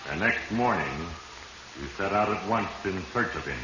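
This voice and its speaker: A man's voice: sits low, animated, normal speed. A male actor playing an ominous voice of fate, narrating a 1940s radio drama solemnly in an American accent. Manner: solemn, ominous second-person storytelling. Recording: muffled, noisy 1940s radio recording, echoey.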